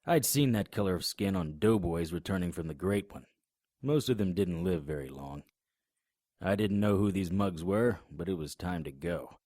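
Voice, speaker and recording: A man voicing a hard-boiled 1920s private eye, narrating in a laconic, deadpan noir style. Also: sits low, no room sound. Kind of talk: first-person noir narration.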